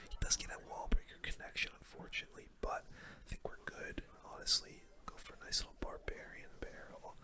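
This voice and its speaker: A gaming host speaks entirely in a soft, breathy whisper, giving ASMR play-by-play. The sound is very bright and airy, right up at the microphone. The phrasing is casual and full of gamer slang. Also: extremely high pitch. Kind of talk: whispered ASMR gameplay commentary. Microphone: close microphone.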